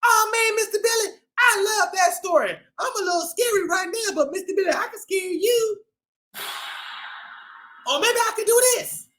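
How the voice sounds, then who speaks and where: A man voicing an excitable, playful little bee puppet with a giddy, childlike manner in a children's storytime show. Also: very high voice, moderate pace, voice pushed up.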